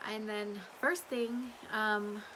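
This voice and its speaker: A woman's voice: medium pitch, fairly even intonation, slow pace, noisy background. A woman chats casually and ramblingly to customers on a livestream, her voice a little strained from talking. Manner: rambling, chatty. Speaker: small business owner hosting a live update.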